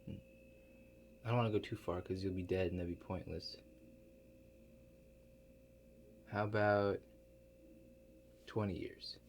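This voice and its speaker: A man playing an awkward, hesitant operator of a strange future-viewing machine in a soft-spoken ASMR roleplay, halting and understated. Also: low voice, steady tone, a little hiss or hum. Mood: musing. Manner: halting, understated, mumbled asides with many pauses.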